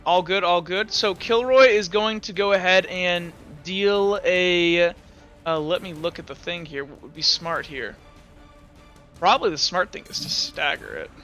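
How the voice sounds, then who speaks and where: A young man runs a tabletop game over a voice call, his voice high and bright as he works through the rules. He talks casually, stumbles and corrects himself, then spins gory combat scenes. Faint music plays underneath. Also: measured pace. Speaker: game master.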